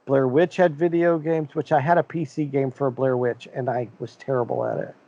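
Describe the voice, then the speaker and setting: A man's voice: mid-range voice, fairly even intonation, normal speed, mellow, lo-fi sound. A man co-hosting a casual podcast chat, rambling and joking as he lists titles off the top of his head. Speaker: podcast co-host.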